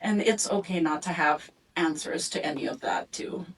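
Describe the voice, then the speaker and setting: Reflective woman speaking from afar. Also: on the low side. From Philippines and Indonesia, raised abroad. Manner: reflective, many ums and pauses. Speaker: community speaker presenting her family story. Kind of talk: storytelling talk with photo slides. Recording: voice from a distance.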